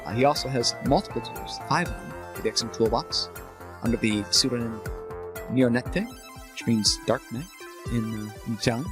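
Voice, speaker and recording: A man's voice: medium pitch, moderate pace, over music. A man hosting a casual tech podcast, joking and upbeat, with filler words. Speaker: podcast host.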